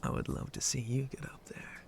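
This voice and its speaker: A man playing a flirty, roguish shark-monster pirate in an ASMR roleplay, breathy and often whispering, with a teasing, rustic pirate way of talking. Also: normal speed, close to an everyday voice. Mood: instructive, easygoing. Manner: rustic pirate dialect, hesitant drawl, teasing.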